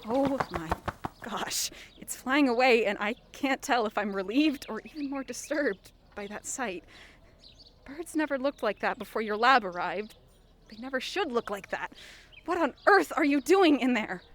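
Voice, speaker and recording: A woman's voice: on the high side, animated, moderate pace, a bit bright, a little hiss or hum. A woman playing an inquisitive, easily alarmed young reporter in an audio fiction podcast, exclamatory and full of questions. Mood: exasperated.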